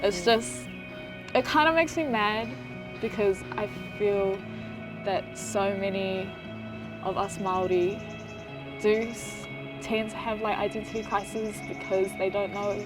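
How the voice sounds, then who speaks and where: A Māori woman slam poet with a New Zealand accent, speaking in a halting, frustrated way. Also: medium pitch, music in the background, rough recording.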